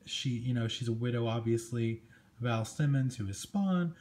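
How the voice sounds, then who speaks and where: A man's voice: mid-range voice, normal speed, darkish tone. A man hosting a casual comic book review, talking in a conversational, enthusiastic way as he goes through the pages. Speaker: comic book show host. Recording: clearly noisy amateur recording with room ambience.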